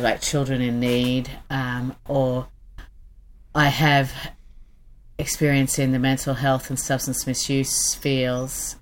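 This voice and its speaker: Woman guest, deep, flat and slow, slight noise behind. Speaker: interview guest. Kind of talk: interview answers.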